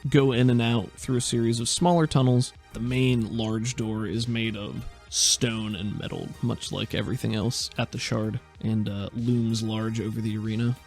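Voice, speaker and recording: A man's voice: on the low side, fairly even intonation, normal speed, few pauses, darkish tone, soft background music. A man narrating a tabletop game as game master in a conversational, descriptive, improvised way.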